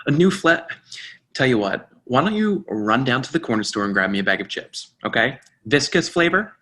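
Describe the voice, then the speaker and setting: A fussy, officious restaurant host acted by a man in a theatre reading. His voice is bright and forceful at a middle pitch, with formal, emphatic delivery. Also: sing-song, normal speed. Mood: exasperated. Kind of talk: readers theatre acting.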